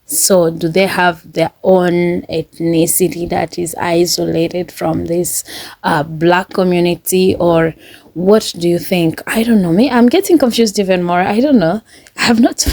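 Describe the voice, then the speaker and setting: A woman with a lowish voice talks through a reaction video in a chatty, thinking-aloud way, laughing often. The sound is dry and close. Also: a little slow, darkish tone, no echo. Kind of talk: casual reaction commentary.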